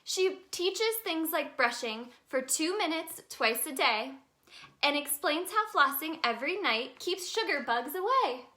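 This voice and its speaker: A woman reading a children's story aloud in an expressive, sing-song way for young listeners. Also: high voice, unhurried. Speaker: children's storybook reader, in a tooth fairy persona.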